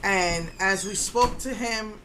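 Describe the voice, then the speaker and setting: A woman in her fifties telling a story in an animated, indignant way, with a strong voice. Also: medium pitch, takes it slowly, very noisy. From Puerto Rico. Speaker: vlogger. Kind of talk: casual storytelling rant.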